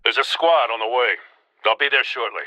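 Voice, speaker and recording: An actor playing a gruff, earnest male police officer in a video game. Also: medium pitch, fairly quick, dull audio, noisy background, close to an everyday voice. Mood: urgent.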